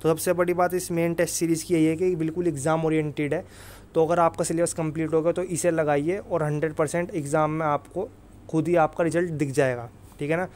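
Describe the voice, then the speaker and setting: A man's voice: a little high, level tone, brisk, a little mellow, a little hiss or hum. A man giving an informal, emphatic explanation as an exam-prep presenter, often repeating tag phrases. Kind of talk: informal explainer over screen recording.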